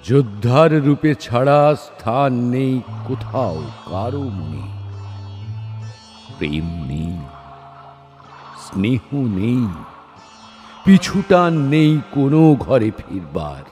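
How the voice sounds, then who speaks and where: A man's voice: mid-range voice, big pitch swings, slow pace, pauses often, a little mellow. A man voicing a proud, weary, doomed warrior prince in a solemn, declamatory recitation of an epic verse drama. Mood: weary, sorrowful. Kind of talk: dramatic Bengali poetry recitation with dialogue. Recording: studio voice over background music. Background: background music.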